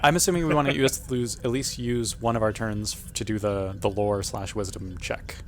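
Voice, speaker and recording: A man's voice: on the low side, fairly even intonation, normal speed, a little hiss or hum. A man talking casually as a player working through moves in a tabletop role-playing game.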